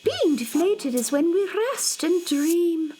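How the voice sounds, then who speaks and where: A woman playing a kindly, chirpy female forest pixie in a children's radio drama, explaining things in a gentle, storybook lilt. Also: very high-pitched, expressive, slow pace, soft background music, heavily acted. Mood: calm, surprised.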